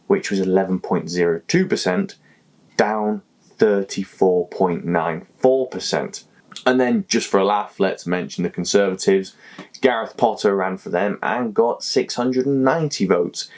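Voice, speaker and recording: A man's voice: fairly low pitch, a little mellow. A man hosting a political explainer video, talking emphatically with wry, jokey asides. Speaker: political commentary host.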